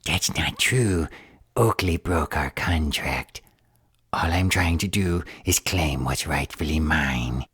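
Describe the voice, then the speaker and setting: Very husky, scheming gremlin villain. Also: male voice, very deep voice, slow, a bit bright, no echo, clean studio sound, exaggerated voice. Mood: indignant. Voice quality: raspy, breathy half-whisper. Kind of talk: audio roleplay fantasy drama.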